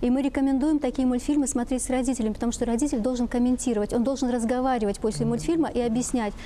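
A woman's voice: on the high side, steady tone, racing, warm tone, slight background noise. A woman giving explanations as an expert guest in a panel discussion.

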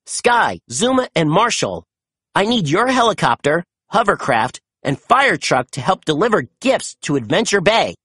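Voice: medium pitch, very animated, normal speed, a bit bright, breathy edge, no room sound, clean studio sound. A storybook narrator voicing a confident boy team leader who gives earnest, reassuring commands. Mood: commanding.

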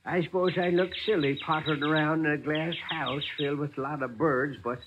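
Old man acted by a man, irascible, bitter, high-pitched, husky, 1940s radio drama, dull recording. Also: steady tone, noisy background, lightly acted. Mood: flustered. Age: older. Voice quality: raspy. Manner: halting when polite, explosive when angered. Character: bitter, irascible old wealthy grandfather, fussy bird keeper.

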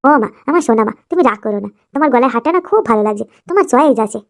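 Woman playing a fast-talking, spiteful stepmother. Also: a little high, lively intonation. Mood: coaxing. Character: spiteful, complaining young stepmother, greedy and scheming.

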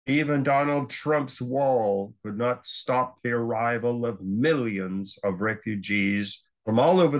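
An older man giving a library slide lecture on world affairs, speaking in an unhurried, emphatic way with long pauses. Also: mid-range voice, a bit bright.

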